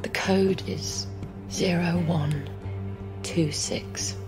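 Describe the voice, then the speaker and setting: A woman's voice: fairly low pitch, steady tone, extremely slow, music in the background. An actress playing a desperate, bitter British woman official in a dramatic video game. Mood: resigned, grave.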